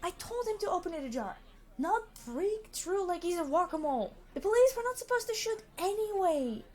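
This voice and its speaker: A woman's voice: extremely high pitch, sing-song, brisk, a little mellow, pitched above the performer's own voice. A woman playing a reluctantly decent supervillain in an audio roleplay, speaking in a dry, formal, bossy, matter-of-fact way. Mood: exasperated. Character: famous immortal supervillain, exasperated, reluctantly decent. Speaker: robbery leader.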